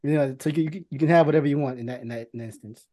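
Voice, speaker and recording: A man in a casual movie discussion, talking in a rambling, halting way with plenty of swearing. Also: mid-range voice, mellow. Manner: rambling, halting, profanity-laced. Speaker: podcast co-host.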